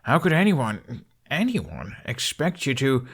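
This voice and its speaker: A man's voice: mid-range voice, wildly swooping pitch, unhurried, vocal fry, fairly bright tone, slightly rough, voice pushed up, exaggerated voice. An earnest young male Viking, acted out by an audiobook narrator, caring and stubbornly persistent. He presses his questions with feeling in a fantasy story. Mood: indignant.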